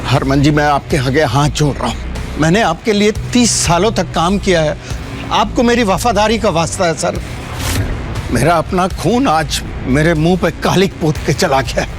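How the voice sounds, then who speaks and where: A man's voice: fairly high pitch, normal speed, music in the background, lightly acted. A man playing a middle-aged business tycoon, a pleading, hot-tempered father, in an audio drama. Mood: desperate pleading. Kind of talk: Hindi audio drama acting.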